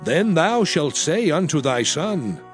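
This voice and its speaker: A man narrating a dramatized King James scripture reading in a slow, grave, emphatic declamation. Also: fairly high pitch, big pitch swings, soft background music.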